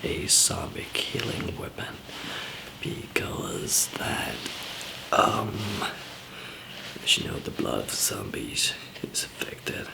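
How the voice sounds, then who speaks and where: A man doing a whispered ASMR roleplay as a calm apocalypse survivor, murmuring in a hesitant, halting way. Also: a bass voice, vocal fry, rough recording. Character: calm zombie apocalypse survivor handing out supplies.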